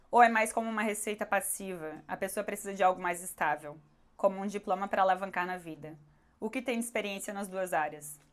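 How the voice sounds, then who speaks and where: Hoarse Brazilian woman, casual vlogger. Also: mid-range voice. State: hoarse, recovering her voice. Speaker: YouTuber answering viewer questions. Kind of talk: casual question-and-answer vlog.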